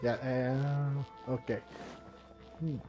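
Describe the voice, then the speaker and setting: A man's voice: mid-range voice, level tone, drawn-out words. A man giving casual gameplay commentary, hesitant and rambling, often repeating his words, over game music. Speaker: gamer commenting on play. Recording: muffled audio, faint game music. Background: faint game music.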